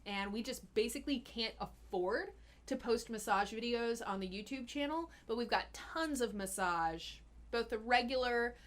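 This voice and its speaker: A woman's voice: a little high, normal speed. A young woman hosting a casual live-stream Q&A as a health coach, chatty and giggly with lots of fillers. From Austin, Texas.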